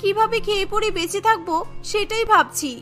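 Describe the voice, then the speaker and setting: A woman acts out a poor village mother in a cartoon, anxious and pleading for her hungry child. The voice is very high and bright, with music underneath. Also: brisk. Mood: worried, tender.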